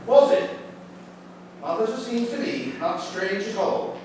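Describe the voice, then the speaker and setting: A man's voice: sits high, lively intonation, slow pace. A man on stage playing a plain-spoken, concerned country man with blunt, homely, old-fashioned phrasing. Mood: steady, insistent. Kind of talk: live stage play acting. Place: indoor theatre stage, recorded from a distance. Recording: echoey theatre stage from far off, faint noise.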